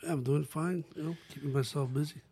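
A man's voice: fairly high pitch, a little fast, vocal fry, breathy edge. Older Dominican-born man, easygoing answers. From Dominican Republic. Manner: relaxed, plain-spoken, short answers. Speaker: guest musician. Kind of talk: casual interview.

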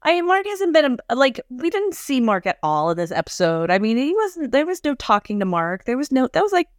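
A woman's voice: high voice, big pitch swings, brisk, dry recording, studio quality. A woman co-hosting a podcast, chatting casually and animatedly as she recaps a TV episode, laughing often. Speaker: podcast co-host.

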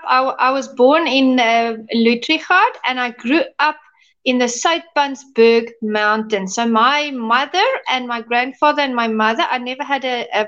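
A woman's voice: a little high, fairly bright tone, highs cut off. A woman from South Africa with a South African accent, a pastor telling stories in a casual interview. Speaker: pastor and podcast guest.

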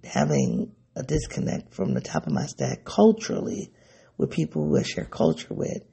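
Gravelly, raspy man musing sleepily. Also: fairly high pitch, wildly swooping pitch, normal speed, warm tone. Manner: musing, rambling, self-searching. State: sleepy, voice going. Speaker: vlogger. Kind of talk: personal reflective monologue.

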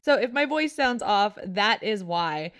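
A woman hosting a paranormal podcast, narrating in a soft, hushed storytelling voice and sounding stuffy from a sore throat. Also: medium pitch, expressive, measured pace, smooth.

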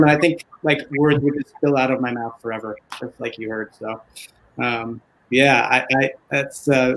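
A man, a voice actor, chatting casually as an interview guest in a halting, enthusiastic way. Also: mid-range voice, a little hiss or hum, poor audio.

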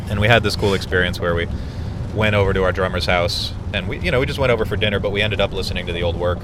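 A man's voice: deep voice, very fast. A man who plays in a band, talking in a reflective, casual way and swearing now and then, riding in a car. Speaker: musician in a band. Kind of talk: casual conversation. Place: inside a car. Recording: car road noise.

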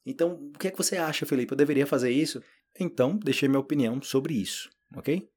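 A young man with a Brazilian Portuguese accent, hosting a language-learning podcast in a relaxed, chatty way full of little fillers. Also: medium pitch, fast, husky. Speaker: podcast host and language teacher. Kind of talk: casual spoken podcast intro.

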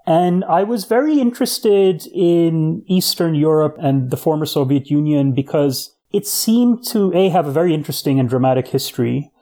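A man born in Pakistan, a guest on an interview show, explaining history at a measured pace with occasional fillers. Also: on the high side, dark tone. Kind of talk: podcast interview answers.

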